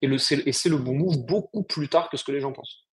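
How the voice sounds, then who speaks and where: A man's voice: mid-range voice, quick pace. A man co-hosting a business podcast, explaining his points bluntly in casual, slangy chat. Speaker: podcast co-host, agency founder.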